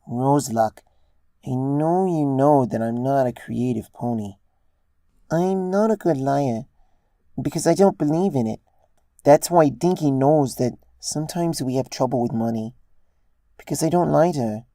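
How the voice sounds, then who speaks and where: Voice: medium pitch, a little slow, mellow, no echo, clean studio sound, lightly acted. A narrator voicing an adult female pony, a mother who confides in a hesitant, earnest way, in a story reading. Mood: earnest, defensive.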